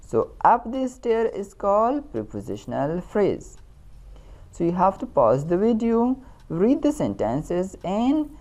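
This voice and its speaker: Female teacher, Pakistani accent, low voice with hugely varied melody, reading a lesson deliberately and instructively. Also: warm tone. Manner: instructional, deliberate, repeats key terms. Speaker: English grammar teacher. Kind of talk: scripted lesson narration with examples.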